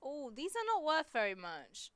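A woman co-hosting a let's play, chatting playfully about the game in a slightly croaky voice. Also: high-pitched, wildly swooping pitch, moderate pace, a bit bright, no echo. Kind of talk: casual gameplay commentary.

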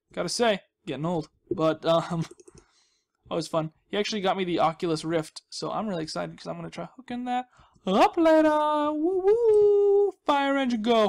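High-pitched, creaky-voiced young man gaming. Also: a little mellow. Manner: goofy, self-deprecating, mock-dramatic. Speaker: gaming video host. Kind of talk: casual, rambling Let's Play commentary.

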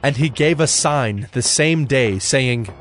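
Solemn male scripture narrator, music underneath. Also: medium pitch. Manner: measured, solemn storytelling. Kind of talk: scripted dramatized Bible narration.

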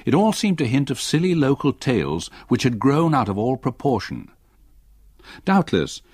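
An older English gentleman telling his tale on radio. The voice is low and husky, with lively swoops and a measured literary delivery, recorded close and dry. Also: dry recording. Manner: measured, expressive storyteller. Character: older solicitor recalling his haunted past. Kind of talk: literary first-person narration in radio drama.

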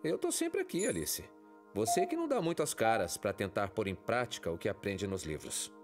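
A man's voice: fairly low pitch, sing-song, brisk, faint music behind. An actor playing a cocky, laid-back male space squadron major in a sci-fi audio drama, speaking in a casual, dryly dismissive way. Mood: cocky, reluctant.

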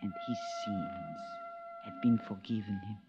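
An actress playing a devoted, mournful housekeeper in an old radio drama, speaking in a halting, emotional way. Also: female voice, rumbling low, over loud music. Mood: tender, mournful. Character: devoted, mournful female housekeeper of a dead man. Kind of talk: 1940s-50s radio drama acting. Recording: dull, faintly noisy old radio recording.

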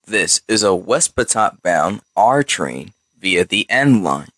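A man delivers scripted station and train announcements. His mid-pitched voice is slightly rough and dryly recorded, with animated intonation and formal, segmented phrasing. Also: slow, dry recording.

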